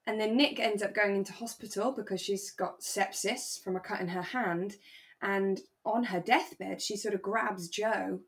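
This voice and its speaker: A British woman speaks in a middle-range voice as a podcast co-host. She recaps a drama in relaxed chat, explaining as she goes like someone telling a story to a friend. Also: mid-range voice, measured pace.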